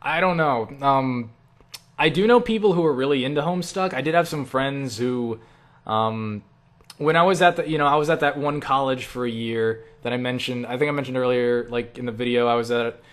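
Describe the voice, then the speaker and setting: A man's voice: mid-range voice, fairly quick. A young man chatting casually in a question-and-answer vlog, rambling and self-deprecating, with casual swearing. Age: young adult. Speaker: online video creator answering viewer questions.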